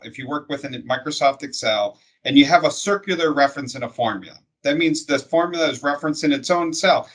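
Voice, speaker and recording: A man's voice: a little high, a bit bright. A man speaking as an industry expert, explaining things conversationally in plain, step-by-step terms.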